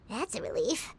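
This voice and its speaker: A woman voicing a small childlike fairy companion in a video game, squeaky, excitable and cheeky, speaking of herself by name. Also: very noisy, full character voice. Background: music.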